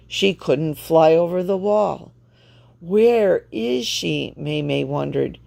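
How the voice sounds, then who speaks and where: Woman, children's story narrator, low-pitched, unhurried, expressive phrasing. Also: vocal fry. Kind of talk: read-aloud storybook narration.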